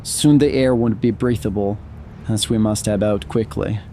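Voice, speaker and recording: A man's voice: lowish voice, steady tone, moderate pace, dark tone, a little hiss or hum, lightly acted. A man acting a wary, gloomy paranormal investigator in an audio drama. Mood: grim alarm.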